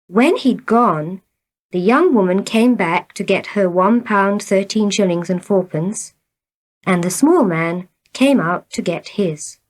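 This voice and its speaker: A woman narrates short, simple stories from a script. She reads very slowly and deliberately, with a middle-pitched voice. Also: lively intonation. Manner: measured, deliberate reading. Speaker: storybook reader.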